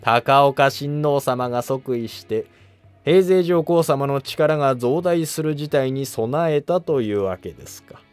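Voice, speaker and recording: A man's voice: medium pitch, animated, a little slow, clean tone, faint music behind, pitched above the performer's own voice, lightly acted. A man voicing a shrewd Buddhist monk adviser who asks polite, insinuating questions in a historical drama animation. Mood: shrewd, probing.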